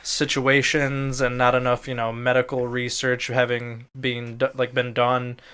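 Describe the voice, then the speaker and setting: A man's voice: mid-range voice, completely flat delivery, unhurried, pushed and bright, clear, resonant tone. A man co-hosting a casual podcast, speaking in an informal way. He hedges often as he explains things, keeping it loose and conversational. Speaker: podcast co-host. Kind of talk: casual chat.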